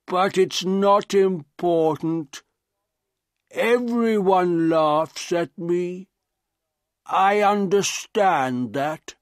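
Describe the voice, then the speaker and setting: A man voicing a gentle, sad, humble deformed man in an audiobook, with very slow, halting, laboured speech. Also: high-pitched, no echo, clean studio sound, voice pushed up. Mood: sad, resigned. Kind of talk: audiobook character dialogue.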